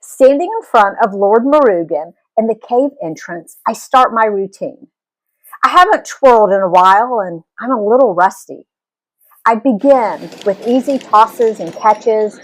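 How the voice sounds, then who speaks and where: A woman reading a story aloud as an expressive, animated narrator. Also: fairly high pitch, a little slow, low-quality recording. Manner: animated storytelling with acted dialogue.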